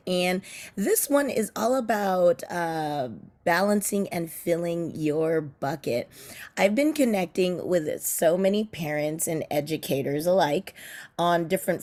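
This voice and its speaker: A middle-aged woman, a teacher and parent, sharing tips in a chatty talk to camera, her voice a bit raspy, with many fillers and restarts. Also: fairly low pitch, a little mellow. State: slightly raspy voice from cheering at kids' sports.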